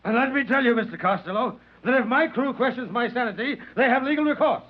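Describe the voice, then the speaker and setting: High-pitched, furious spaceship captain. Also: male voice, quick pace. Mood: furious, threatening. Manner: shouting, formal, threatening. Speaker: ship's captain. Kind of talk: old-time radio drama acting. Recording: dull old radio recording.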